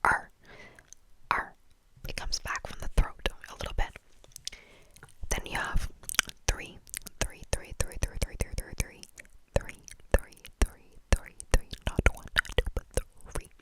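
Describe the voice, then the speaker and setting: A woman whispering an ASMR-style lesson, repeating words softly and slowly over and over. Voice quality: soft whisper. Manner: repetitive, slow, breathy trigger-word repetition. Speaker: language teacher. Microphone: camera mic.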